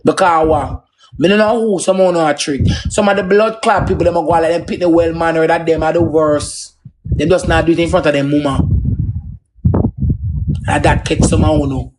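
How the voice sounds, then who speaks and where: A man's voice: fairly high pitch, steady tone, normal speed, darkish tone, slight background noise. A man with a Jamaican accent in a combative, profane, emphatic unscripted rant on a livestream. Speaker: social media live streamer.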